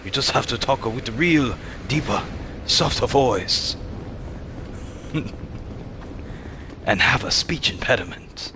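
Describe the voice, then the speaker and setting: A man's voice: medium pitch, takes it slowly, long pauses. A man in his thirties casually commentating his gameplay, chatting with viewers and laughing often. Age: 30s. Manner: laid-back, chats with viewers and laughs often. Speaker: streamer. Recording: steady background noise.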